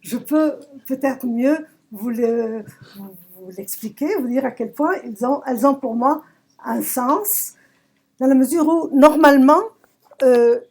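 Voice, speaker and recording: A woman from southern Italy, a poet giving a reflective talk about her work, searching for words with emphatic pauses. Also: high-pitched, darkish tone, slightly rough. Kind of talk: reflective talk at a poetry recital. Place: public library event.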